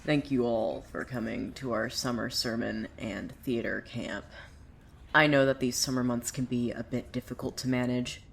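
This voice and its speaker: A woman playing an unhinged, bitter cult preacher with visions in a fiction podcast, theatrical and swinging between brooding and mania. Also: rumbling low. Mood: wry, preachy. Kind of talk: dramatic monologue in an audio fiction podcast.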